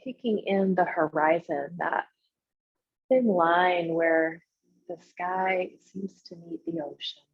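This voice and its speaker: A woman leading a guided visualization as a meditation guide, speaking in short, unhurried instructional phrases with pauses between them, in a slightly husky voice. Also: on the low side. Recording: muffled, roomy, echoey sound.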